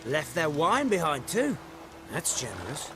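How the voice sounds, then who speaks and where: A lively, jovial peasant wagon hand. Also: male voice, on the high side, a little slow. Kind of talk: video game cutscene acting. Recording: game cutscene with music behind.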